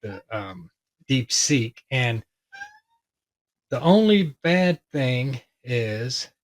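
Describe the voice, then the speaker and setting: A man with a hoarse voice talking casually and ramblingly as he explains a tech topic to his viewers, with many fillers. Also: mid-range voice, sing-song, very slow. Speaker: tech video host.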